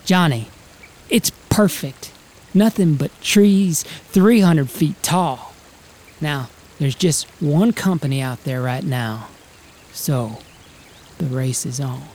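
An actor playing a volatile, ambitious 1890s drifter who talks in folksy slang as he pitches big schemes in an audio drama. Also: animated, slow pace, darkish tone. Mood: eager. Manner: folksy, slangy frontier talk. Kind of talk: acted screenplay reading.

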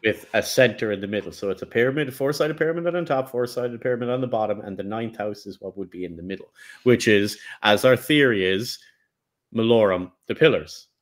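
A man's voice: on the low side, fairly quick. A man co-hosting a casual podcast about game lore, explaining ideas step by step. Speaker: podcast co-host.

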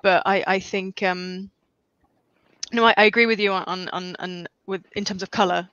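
A woman's voice: medium pitch, flat delivery, normal speed, fairly bright tone, no echo. Young woman, dull dry lo-fi audio. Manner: self-deprecating, laughing, many fillers. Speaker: guest. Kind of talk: casual video-call chat.

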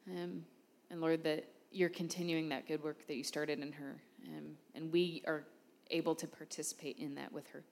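A woman with a notably low voice introduces the next speaker and leads a short prayer, scattering filler ums through her words. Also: level tone, moderate pace, creaky, few pauses, darkish tone. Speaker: church leader hosting testimonies.

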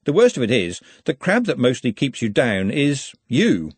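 A man's voice: mid-range voice, huge pitch swings, moderate pace, slightly rough, no echo. A man voicing a practical, guarded working woman, a cook, in an audiobook. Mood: musing. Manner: firm, plain-spoken.

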